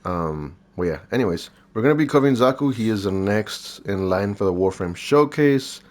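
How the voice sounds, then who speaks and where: Nasal, low-pitched man, casual gaming commentary. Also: lively intonation, normal speed, darkish tone. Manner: informal, rambling, thinking aloud. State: congested from recovering from illness. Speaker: gaming video creator and host. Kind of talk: casual gameplay showcase commentary.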